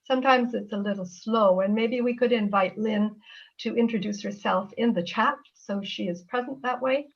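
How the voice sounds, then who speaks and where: A woman's voice: fairly high pitch, moderate pace, vocal fry, muffled recording. A woman introducing herself on an online panel, speaking earnestly in long flowing sentences over a video call. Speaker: author and panelist.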